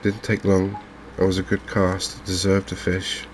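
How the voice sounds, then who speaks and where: A man speaking softly and unhurriedly in an ASMR style, murmuring his way through video game commentary as a streamer. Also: deep voice, completely flat delivery, slight background noise. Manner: soft-spoken, unhurried, murmuring to himself.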